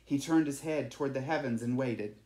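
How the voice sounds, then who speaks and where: Male storyteller, children's read-aloud, mid-pitched voice, strongly roomy recording. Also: fairly quick, dark tone. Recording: echoey room.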